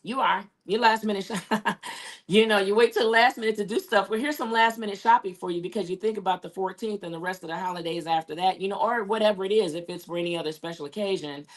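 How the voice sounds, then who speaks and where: A woman with a hoarse, raspy voice from losing it, hosting a casual talk show and chatting along with many fillers. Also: medium pitch, fast talker. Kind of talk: casual live show sign-off.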